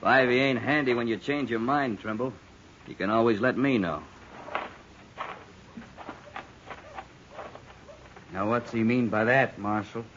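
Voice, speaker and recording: An actor playing a plainspoken, honest freight hauler of the Old West with a folksy American Western drawl in a radio drama. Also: male voice, mid-range voice, normal speed, noisy background, natural voice. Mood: suspicious. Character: plainspoken, indignant, honest frontier freight wagon man. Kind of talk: 1950s radio drama acting. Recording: muffled 1950s radio recording.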